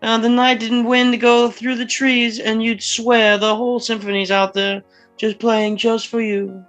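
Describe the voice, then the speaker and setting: A woman's voice: on the high side, little pitch movement, measured pace, fairly bright tone, faint music behind. A woman playing a friendly, slightly drunk forty-year-old man, a small-town mayor who stammers and rambles in a screenplay table read. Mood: proud, friendly.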